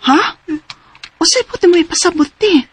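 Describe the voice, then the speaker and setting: A woman in her forties with a Cebuano accent playing a single daughter who cares for her mother in a radio drama, earnest and rising high in surprise. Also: animated, moderate pace, a little hiss or hum. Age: 40s. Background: music.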